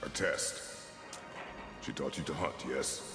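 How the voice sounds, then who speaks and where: Gravelly, commanding warrior father. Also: full character voice. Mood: stern, commanding. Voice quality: gravelly, very deep. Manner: terse, commanding, slow. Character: stern, gravelly warrior father testing his young son. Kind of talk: video game drama acting. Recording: distant cavernous reverb, music and crowd behind.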